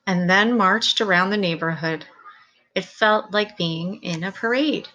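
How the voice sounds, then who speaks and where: A woman's voice: mid-range voice, measured pace, sharp tone, highs cut off. A woman reading a children's story aloud as scripted narration.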